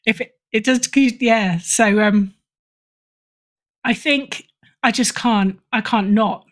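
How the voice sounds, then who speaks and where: A woman's voice: fairly high pitch, steady tone, takes it slowly. Chatty, self-deprecating middle-aged woman. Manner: self-deprecating, chatty, chuckles through remarks. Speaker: podcast guest, writer and editor. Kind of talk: casual interview talk.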